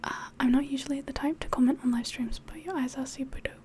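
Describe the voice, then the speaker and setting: A woman with a slightly mixed English accent whispers softly in a rambling, hesitant way, as if hosting an ASMR livestream chat. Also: high-pitched, fairly even intonation, fast, a little hiss or hum. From England. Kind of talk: soft-spoken ASMR chat, mostly whispered.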